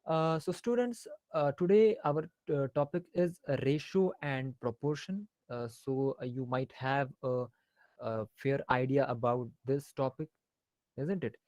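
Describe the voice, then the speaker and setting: A man's voice: medium pitch, measured pace, darkish tone, no room sound. Indian man teaching an online maths class. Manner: hesitant, many fillers, switching into Hindi mid-sentence. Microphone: faulty headphones on an online call, voice low.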